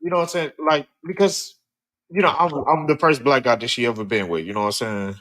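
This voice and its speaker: A man's voice: a little high, quick pace, fairly bright tone. Laid-back man moderator who stammers. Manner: laid-back, stammers, keeps steering back to topic. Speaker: panel moderator. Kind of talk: live-stream panel chat.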